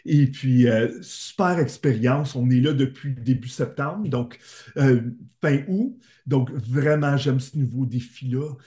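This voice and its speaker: Un homme dans la quarantaine, originaire de l'Est ontarien, à l'ontarien, invité qui raconte son parcours avec sincérité et enthousiasme, par appel vidéo. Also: male voice, medium pitch, slow, dark tone, reverberant. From Eastern Ontario, Canada. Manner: earnest, enthusiastic storytelling. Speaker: teacher, guest. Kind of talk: interview answers. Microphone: video call.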